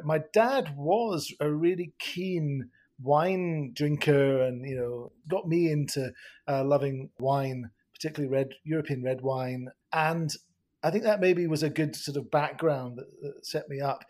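Chatty Scottish man, slightly high voice. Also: a little mellow. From Scotland. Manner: chatty, laughs often, frequent restarts. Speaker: guest. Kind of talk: casual podcast conversation.

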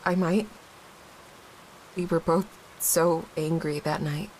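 Young widowed mom wrecked with guilt, halting n raw, audio drama, hiss behind her. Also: sits low, steady tone, darkish tone, low-quality recording. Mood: bitter, angry. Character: grieving, guilt-ridden young widowed mother, bitter and wounded. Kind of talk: naturalistic audio drama acting. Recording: clear background hiss.

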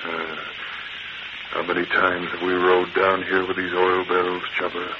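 A man's voice: low voice, completely flat delivery, a little slow. An actor playing a ruthless, scheming gang boss in an old-time radio drama, commanding and menacing as he gloats over his plans. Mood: impatient, commanding. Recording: muffled, noisy vintage radio recording.

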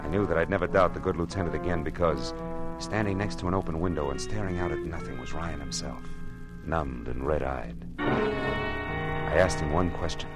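An American actor playing a tough, wisecracking private detective in a 1940s radio noir, slangy and sardonic. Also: male voice, very deep voice, moderate pace, over loud music. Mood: grim narrating.

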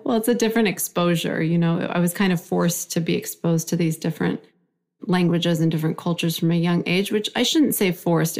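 A woman's voice: fairly low pitch, a little mellow. A woman in her forties, from Iran, telling her life story reflectively in an interview. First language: Farsi. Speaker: guest. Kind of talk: conversational interview.

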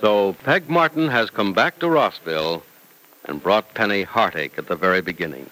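A man's voice: deep voice, expressive, moderate pace, sharp tone, poor audio. A man announcing an old-time radio serial and its sponsor in a polished, emphatic, dramatic style.

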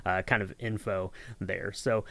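A man's voice: low voice, fairly even intonation, unhurried, creaky, pushed and bright, dry recording. Rambling, affable man hosting a podcast. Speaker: podcast host and audio editor. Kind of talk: casual podcast chat.

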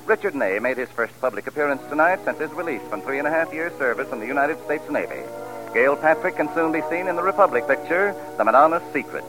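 Animated formal male radio announcer over music. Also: a little high, fast, poor audio. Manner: formal, polished delivery. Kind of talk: 1940s radio show announcing. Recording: vintage 1940s radio broadcast.

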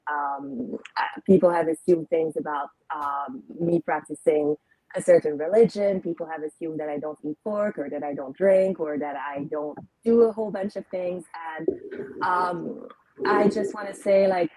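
A young woman from Lebanon speaks in a husky, lowish voice. She tells her story slowly and thoughtfully, with frequent pauses. The sound is dry and close, with no room around it. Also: darkish tone, no echo, low-quality recording. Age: young adult. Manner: reflective, frequent ums and pauses. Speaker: guest. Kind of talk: personal storytelling interview.

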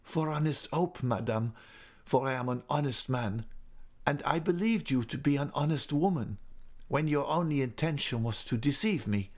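Storybook role: passionate jealous young aristocrat, male reader, pitched up, bitter and theatrical. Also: measured pace, darkish tone. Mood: bitter, anguished. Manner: melodramatic, bitterly accusing. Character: passionate jealous young nobleman, wounded and furious. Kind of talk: dramatic audiobook character reading.

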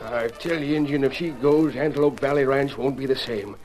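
An actor playing a folksy, emotional ranch hand with a drawling American Western accent in a radio drama. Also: male voice, mid-range voice, brisk, over music, close to an everyday voice. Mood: mournful. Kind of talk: 1940s-50s Western radio acting. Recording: noisy vintage radio recording.

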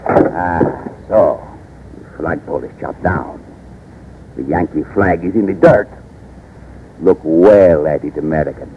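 Casting: imperious pirate potentate, bass register, deliberate and pompous, vintage radio play with heavy background noise. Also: male voice. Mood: gloating. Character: haughty, gloating male ruler of a pirate state. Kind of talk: 1940s radio acting. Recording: noisy, dull old radio recording.

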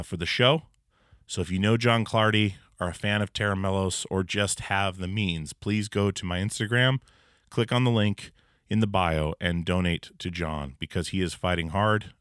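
A very low-voiced man hosting a casual podcast from a home basement. He talks in a loose, rambling way, full of "uh" and "you know". The sound is close and dry, with no room echo. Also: clear, resonant tone. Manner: relaxed, rambling with many fillers. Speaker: podcast host. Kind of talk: casual interview chat. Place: old house basement.

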